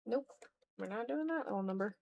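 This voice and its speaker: A tired woman chatting casually and rambling in a low-key way as she hosts a live art stream and draws. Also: animated, a little fast, vocal fry, a little mellow, highs cut off. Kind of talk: casual livestream chat while drawing.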